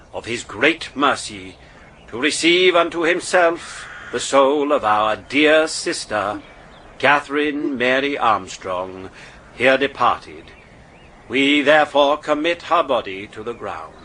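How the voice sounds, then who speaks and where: An actor playing a solemn clergyman who intones burial rites in a measured, ceremonial way in a radio drama. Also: mid-range voice, animated, sharp tone, breathy edge, heavily acted, low-quality recording.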